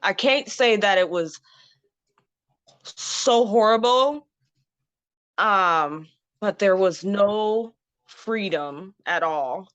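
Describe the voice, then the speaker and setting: A woman's voice: mid-range voice, extremely slow, pauses often, fairly bright tone, dry recording. A woman in her thirties from Zimbabwe, frankly telling her own story as an interview guest, often laughing and saying "um" and "you know". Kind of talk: candid personal story, casual. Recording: dull lo-fi dry sound.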